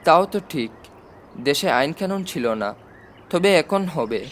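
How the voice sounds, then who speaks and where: An affectionate army chief as a man narrator reads him, confident and fatherly in a storybook. The voice is high for a man, explaining and reflecting, with faint noise behind it. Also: expressive, a little slow. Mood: thoughtful. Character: army chief uncle, affectionate, confident, older than his listener. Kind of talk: storybook reading.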